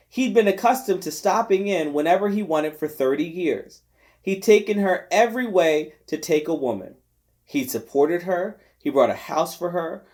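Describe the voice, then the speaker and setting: A man narrating a novel aloud in an expressive storytelling style with deliberate phrasing. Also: sits high. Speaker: volunteer reader of a novel. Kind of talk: scripted audiobook narration.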